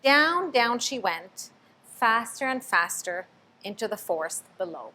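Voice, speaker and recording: A woman reading a children's picture book aloud, expressive, stopping to ask the listener questions. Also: fairly high pitch, unhurried, slight background noise, low-quality recording. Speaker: storybook reader.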